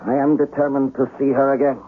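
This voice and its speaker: Impassioned young samurai played by an actor, muffled broadcast. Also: male voice, mid-range voice, flat delivery, fast talker, natural voice. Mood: anguished, pleading. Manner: earnest, formal, dramatic declamation. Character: impassioned, lovesick young samurai, proud and defiant. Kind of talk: 1970s American radio drama acting. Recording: muffled, hissy old radio broadcast.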